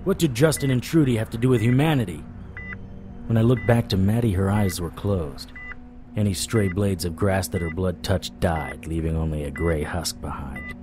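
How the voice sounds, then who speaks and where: A man plays a supernatural private investigator telling his own story in a low voice. He is wry, deadpan and world-weary, in the noir manner of audio drama. Music plays clearly behind him. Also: moderate pace, natural voice. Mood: puzzled, wry. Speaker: narrator and lead actor. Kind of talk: noir first-person audio drama narration.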